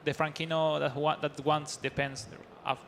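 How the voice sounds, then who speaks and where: A man's voice: fairly high pitch, little pitch movement, a bit bright, a little hiss or hum. Eager Spanish-native man analyzing a match. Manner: eager, non-native phrasing, quick rising calls during play. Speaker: esports analyst. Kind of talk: live esports commentary.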